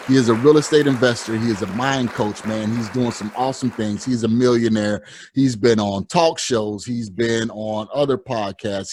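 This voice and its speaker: A man's voice: medium pitch, dry recording. A man hosting a podcast, enthusiastic and repeating words as he welcomes his guest. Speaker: podcast host.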